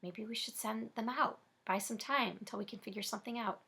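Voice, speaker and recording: A woman reading aloud plays a hard-nosed young robber who talks blunt and streetwise. The voice is bright with some gravel in it. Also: fairly high pitch, a little fast, full character voice. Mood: calm.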